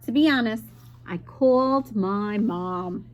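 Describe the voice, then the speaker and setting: A woman's voice: mid-range voice, slow pace, lightly acted. A woman voicing a flustered, sleepy male groundhog in a children's storybook, groaning, sighing and hesitant. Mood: sheepish. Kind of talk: children's picture book read-aloud.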